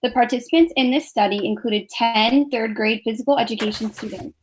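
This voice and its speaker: A woman in her twenties reading a class research presentation in an even, measured way. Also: fairly high pitch, slight background noise, rough recording. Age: 20s. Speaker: graduate student presenter.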